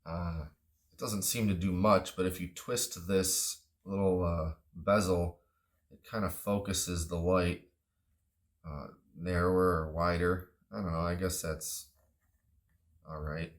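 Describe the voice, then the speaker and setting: A man with a low-pitched voice reviews a product off the cuff. He is matter-of-fact and a little tentative, with an understated delivery. It sounds like casual, unscripted talk. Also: fairly even intonation, slow.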